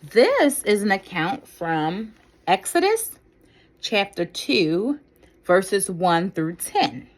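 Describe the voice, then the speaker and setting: A woman reading a children's Bible story aloud as a storyteller, with deliberate, careful and expressive phrasing. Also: medium pitch.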